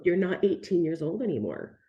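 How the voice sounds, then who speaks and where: A woman's voice: on the low side, big pitch swings, dull audio. A woman in her twenties, a guest nurse and nutrition coach, talking candidly and casually over a remote call, swearing now and then. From Canada. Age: 20s. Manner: candid and breezy, swears casually, quick explanations. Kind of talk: casual podcast interview.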